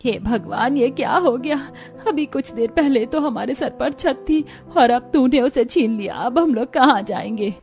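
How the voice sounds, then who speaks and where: Woman playing an earnest, high-pitched village housewife. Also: a little slow, creaky, few pauses, a little mellow, soft background music. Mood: distressed. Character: village women, mother-in-law and daughters-in-law, earnest and practical. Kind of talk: scripted cartoon story dialogue.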